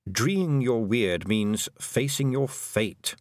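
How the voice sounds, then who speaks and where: Man voicing a slow, matter-of-fact toad. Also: mid-range voice, lively intonation, no echo, studio quality. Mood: explaining.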